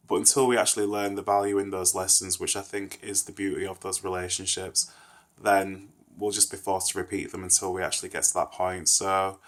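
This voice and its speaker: A man's voice: low voice, fairly bright tone. A man in his thirties with a stuffy nose from a cold, giving a thoughtful, rambling talk to camera. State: congested, nasal from a cold. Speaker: vlogger. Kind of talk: casual reflective rant to camera.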